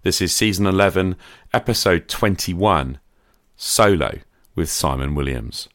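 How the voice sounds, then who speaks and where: A man's voice: low-pitched, animated, unhurried, fairly bright tone. A British man hosting a podcast, rambling and self-deprecating with many fillers, getting over a cold. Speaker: podcast host. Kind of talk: casual podcast chat.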